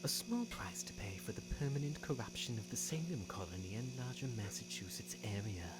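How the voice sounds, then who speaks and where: A woman voicing a seductive, taunting devil in an audio drama, with a theatrical, commanding and drawn-out delivery. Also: extremely low pitch, very animated. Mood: smug, formal. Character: seductive, taunting devil granting dark bargains. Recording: hum and noise, home recording.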